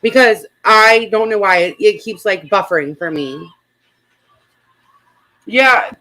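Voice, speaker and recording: A woman's voice: medium pitch, expressive, slow, pushed and bright, rough recording. A woman hosting a live stream, chatting casually in a blunt, sarcastic way. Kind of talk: casual reaction chat.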